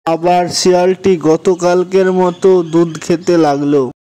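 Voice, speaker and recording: A man's voice: high-pitched, flat delivery, slow, mellow. A man narrating a scripted children's story as the storyteller.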